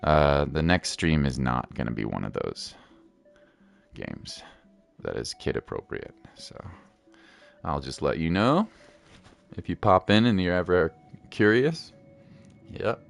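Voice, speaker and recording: A man's voice: very deep voice, very animated, takes it slowly, vocal fry, long pauses. A man giving casual, playful livestream commentary over gameplay, often repeating his phrases for emphasis. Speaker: game streamer. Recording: game music behind voice. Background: game music.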